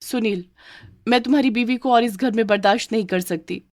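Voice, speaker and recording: A woman voicing a spoiled, arrogant young daughter-in-law in a cartoon story, high and demanding. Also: fairly quick, no room sound, clean studio sound, close to an everyday voice. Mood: angry. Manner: high, demanding, sugary to elders, sharp to the maid.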